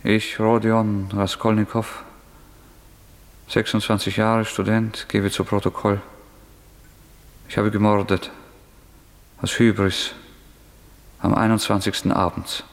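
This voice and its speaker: Defiant young student killer, lowish creaky voice, sardonic, intense, proud, radio drama. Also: male voice, little pitch movement, slow, long pauses. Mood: bleak, proud confession. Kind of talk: German radio play acting.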